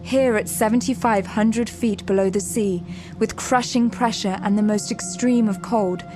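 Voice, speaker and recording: Female narrator for audio drama: mid-range, measured, suspenseful storytelling with clear musical score. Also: medium pitch, steady tone, music in the background.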